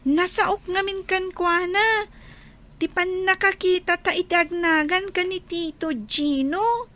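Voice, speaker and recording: A woman's voice: very high-pitched, steady tone, measured pace, pushed and bright, full character voice. A woman voicing a curious, innocent young child with an Ilocano accent, questioning the grown-ups in a radio drama. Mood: curious, wistful.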